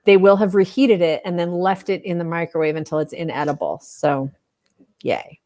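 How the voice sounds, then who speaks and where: A woman's voice: on the low side, moderate pace, darkish tone. A middle-aged woman co-hosting a podcast, chatting casually and rambling in a self-mocking way. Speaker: podcast co-host. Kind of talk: casual chat over a video call.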